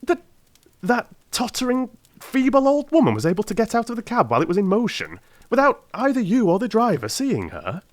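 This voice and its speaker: A man playing an astonished, formal gentleman doctor in a theatrical audiobook. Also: sits high, wildly swooping pitch, fairly quick, voice pushed up. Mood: amazed. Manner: incredulous, formal. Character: astonished, weary gentleman doctor, loyal companion. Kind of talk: theatrical dramatised audiobook acting.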